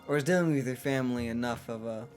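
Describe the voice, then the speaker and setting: Very low-voiced, wry young woman gamer. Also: fast, darkish tone, soft background music. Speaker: let's play co-host. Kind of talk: casual gaming banter.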